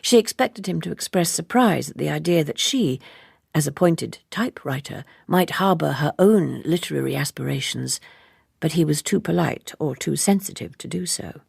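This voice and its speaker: Expressive woman narrator, gravelly low edge. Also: moderate pace, no echo, studio quality. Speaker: audiobook narrator. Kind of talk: expressive literary scripted narration.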